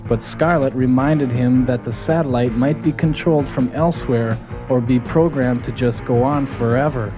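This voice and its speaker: A man's voice: medium pitch, moderate pace, hardly any pauses, muffled recording, close to an everyday voice. A man playing a folksy, gung-ho soldier who narrates his team's mission in a scripted audio drama. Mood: earnest storytelling. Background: music.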